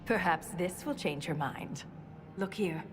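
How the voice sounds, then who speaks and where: An actress playing an earnest, intense scholar of ancient lore in a video game, speaking solemnly and deliberately. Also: female voice, fairly low pitch, noisy background, natural voice. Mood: studious, intrigued. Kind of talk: video game cutscene acting.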